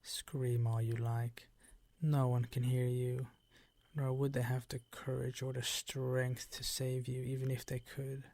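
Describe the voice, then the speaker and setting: Older vampire count played by a male actor for ASMR roleplay: suave, possessive, unhurried, condescending, with breathy menace and a close, dry sound. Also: mid-range voice, monotone, darkish tone, dry recording. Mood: soothing, possessive. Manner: slow, ornate, condescending seductive purr.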